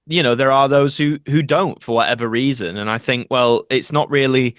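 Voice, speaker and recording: Male actor as interview guest: conversational, explanatory, mid-pitched, dry recording. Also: normal speed, a bit bright, no room sound. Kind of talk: casual interview chat.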